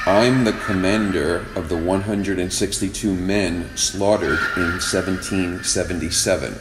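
Man playing a slow, sardonic ghost commander. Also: low-pitched, steady tone, very noisy. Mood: dry. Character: sardonic headless ghost commander from the Revolutionary War. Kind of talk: comic audio drama.

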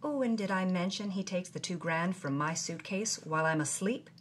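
A woman's voice: on the low side, normal speed, slight background noise, close to an everyday voice. A woman voicing a brassy young actress who narrates her wedding-night troubles from her journal with wry, dramatic flair and period slang. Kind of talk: scripted audio drama narration.

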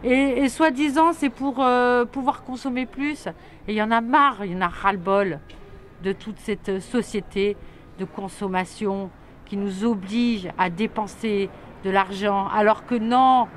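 A middle-aged woman answering a street interview outdoors, talking hesitantly with many filler pauses. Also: mid-range voice, fairly even intonation. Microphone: handheld camera held close. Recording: street traffic noise.

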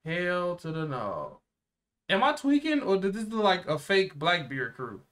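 Higher-pitched young American man, slangy. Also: very animated, a little slow, fairly bright tone. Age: young adult. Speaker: reaction video co-host. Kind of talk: casual reaction chat. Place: small indoor room.

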